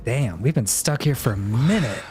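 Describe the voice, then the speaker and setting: A man's voice: medium pitch, animated, moderate pace, darkish tone, faint music behind. A man streaming a game with casual commentary, making wry, self-deprecating asides. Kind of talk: casual livestream commentary and reading game dialogue aloud.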